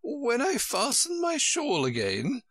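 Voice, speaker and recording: A man voicing a flighty, imperious older queen from a storybook in a shrill, squeaky, swooping voice. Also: wildly swooping pitch, slow, a bit bright, no room sound, studio quality, full character voice. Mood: shrieking, agitated. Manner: emphatic, swooping, exclaiming.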